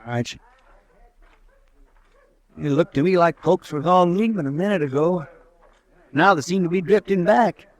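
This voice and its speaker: An actor playing a loyal, deferential frontier deputy in a 1950s radio drama, folksy and eager. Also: male voice, sits high, measured pace, pauses often. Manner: folksy twang, eager, lots of "well". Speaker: marshal's deputy.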